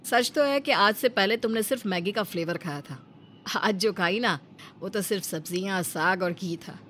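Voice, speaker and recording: A woman's voice: medium pitch, normal speed, a bit bright, a little hiss or hum, close to an everyday voice. A woman voicing a sensible young husband, patient and explanatory, in a cartoon story. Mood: explaining. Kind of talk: Hindi cartoon story acting.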